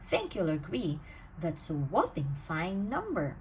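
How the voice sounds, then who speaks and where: A woman reading a rhyming bedtime storybook aloud in an expressive, sing-song way. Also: on the low side, a little slow, slight background noise, low-quality recording. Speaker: storybook reader.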